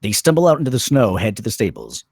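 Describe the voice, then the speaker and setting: A man reading screenplay directions as a dramatic narrator, in clipped, suspenseful phrases with pauses for effect. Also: lowish voice, sing-song, a little mellow, husky, no room sound, clean studio sound. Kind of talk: dramatic scripted narration at a table read.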